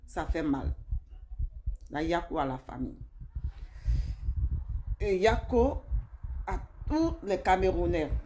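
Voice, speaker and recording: A woman with a fairly low voice talks straight to her online viewers. She is emphatic and repeats key phrases as she comments informally on events. The amateur recording has faint background noise. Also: very animated, takes it slowly, long pauses, mellow. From Africa. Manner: emphatic, repetitive, addresses viewers directly. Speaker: social media commentator.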